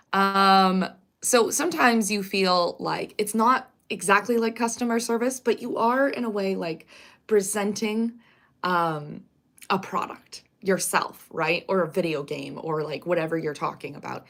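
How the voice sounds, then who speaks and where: A woman from Canada, chatting casually on a live stream. Her voice is raspy and congested from a cold, and she rambles and corrects herself as she goes. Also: mid-range voice, unhurried, a bit bright.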